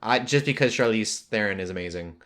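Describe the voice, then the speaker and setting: A man's voice: on the low side, animated, a little fast, a bit bright. A man hosting a casual pop culture podcast, talking in a rambling way that drifts into tangents. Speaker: podcast host.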